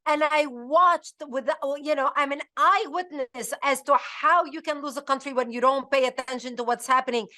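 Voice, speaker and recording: High-pitched, bright, woman, emphatic and urgent talk show host. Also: normal speed, few pauses. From Lebanon. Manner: emphatic, urgent, with frequent restarts. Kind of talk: impassioned political talk.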